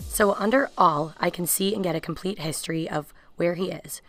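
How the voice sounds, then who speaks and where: A young woman presents a software walkthrough. Her voice sits a little low and she explains things conversationally. Music plays clearly behind her. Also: moderate pace. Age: young adult. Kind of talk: software demo narration.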